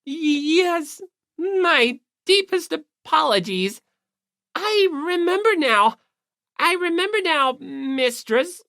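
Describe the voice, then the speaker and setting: A woman playing a servile, excitable, pompous chubby teenage boy in an audiobook, with florid, overformal speech. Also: high-pitched, slow, no echo, studio quality, voice pushed up, heavily acted. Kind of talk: anime-style audiobook character acting.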